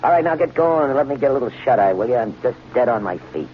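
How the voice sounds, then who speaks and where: A greedy, bossy American crook played by a man in an old radio thriller. His mid-pitched voice is animated, slangy and commanding. The recording is dull and vintage. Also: very fast, lightly acted. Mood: impatient, commanding. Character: brash, bossy, greedy American swindler telling his story. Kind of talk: old-time radio drama acting and narration. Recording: muffled vintage radio, music underneath.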